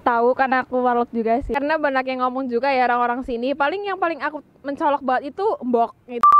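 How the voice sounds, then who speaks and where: Fast-talking young woman. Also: sits high, highs cut off, a little hiss or hum. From Banyumas, Indonesia. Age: young adult. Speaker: student interviewee. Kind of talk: casual street interview.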